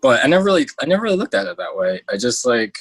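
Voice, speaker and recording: A young man speaking casually and haltingly as a guest on a remote call. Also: medium pitch, big pitch swings, husky, no room sound. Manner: hesitant, halting. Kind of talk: casual chat. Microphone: headphones on an internet call.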